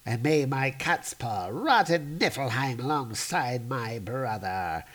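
A man voicing a scheming, spiteful trickster god from a comic book, with theatrical, drawn-out delivery and archaic, grand diction. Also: medium pitch, expressive, a bit bright. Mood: sinister.

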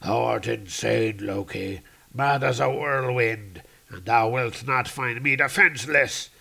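Man acting a proud, wrathful storm god, gravelly. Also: medium pitch, measured pace, sharp tone, heavily acted. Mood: outraged. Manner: declamatory archaic speech.

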